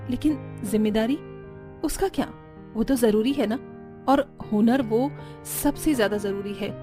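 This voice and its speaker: A woman voicing a dutiful young elder sister and daughter-in-law, earnest and gently admonishing, in an animated family story. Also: high-pitched, natural voice. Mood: earnest, firm.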